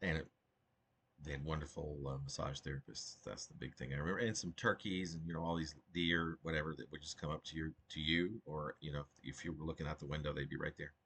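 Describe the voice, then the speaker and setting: A man with a husky voice speaking as a poet about and from his own work, in an informal, halting and digressive way. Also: very deep voice, steady tone, fairly bright tone. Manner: halting, digressive, self-interrupting. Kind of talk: rambling informal commentary and poetry reading.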